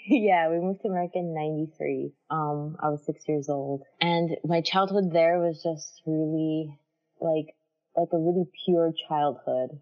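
A woman in her thirties with a very low voice, chatting freely and filling her stories with little asides. She sounds a touch dull and lo-fi, as over a remote call. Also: a little slow. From Armenia. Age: 30s. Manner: chatty, warm storytelling with many fillers. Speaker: guest, character designer. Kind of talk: casual interview chat.

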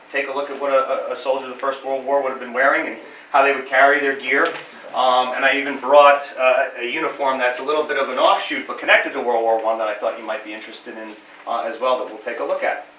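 A man's voice: medium pitch, pushed and bright, a little hiss or hum. A middle-aged man with a cold and a slightly nasal voice gives a friendly, informal history talk to a small audience, with many fillers and repeated words. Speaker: living history presenter. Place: small library meeting room. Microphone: camera across the room. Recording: roomy, distant camera sound.

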